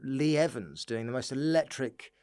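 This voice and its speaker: A British man chatting casually as a comedian guest on a podcast, rambling excitably through his anecdotes and often chuckling. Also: medium pitch, normal speed, clear, resonant tone. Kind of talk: casual chat.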